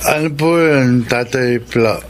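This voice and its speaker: Through a noisy recording comes an ardent young Filipino man played by a radio actor. His voice is mid-pitched, with a Filipino accent and the impatient urgency of a drama suitor. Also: takes it slowly, natural voice. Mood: tipsy, polite.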